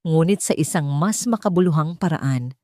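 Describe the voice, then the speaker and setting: A woman voicing a composed, earnest wealthy man giving a calm, controlled formal speech in an audio drama. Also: low-pitched, normal speed, dry recording, studio quality. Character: composed, earnest wealthy husband addressing gala guests.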